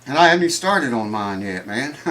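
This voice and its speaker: A man's voice: medium pitch, big pitch swings, moderate pace, a bit bright. A man hosting a guitar repair vlog in his workshop, talking in a folksy, enthusiastic way and sounding congested with a stuffed-up nose. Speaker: guitar repair channel host.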